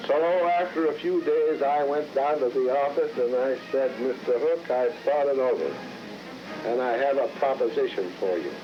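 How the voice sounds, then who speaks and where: A man's voice: medium pitch, moderate pace, noisy background. An older man telling stories of leading a company band, quoting his old conversations in a plainspoken way. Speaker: former bandleader. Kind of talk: archival interview reminiscence. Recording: dull archival radio recording with music.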